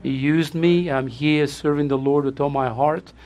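A man's voice: on the high side, steady tone, moderate pace, a little mellow. A man with a Portuguese accent, a pastor welcoming his church, effusive and repetitive, laughing often.

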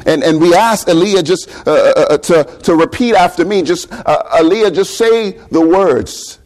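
A man's voice: high voice, normal speed, a little mellow. Bahamian-accented man preaching a sermon. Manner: conversational preaching with rhetorical questions and repeated words.

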